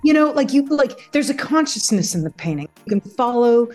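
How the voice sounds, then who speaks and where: A woman's voice: fairly high pitch, very animated, fairly quick, a little mellow, soft background music. A woman guest in a reflective conversational interview, thoughtful and searching for her words.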